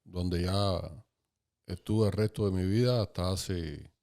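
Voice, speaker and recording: A Cuban man in his fifties, a podcast guest calmly recalling his life story in casual conversation. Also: deep voice. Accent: Cuban Spanish. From Cuba. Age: 50s. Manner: calm, unhurried, recalling dates and places.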